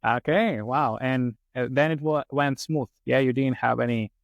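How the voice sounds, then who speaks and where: A man hosting a podcast, asking slow, careful questions with some fillers. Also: medium pitch, vocal fry, dry recording, highs cut off. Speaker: podcast host. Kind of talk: interviewing a guest.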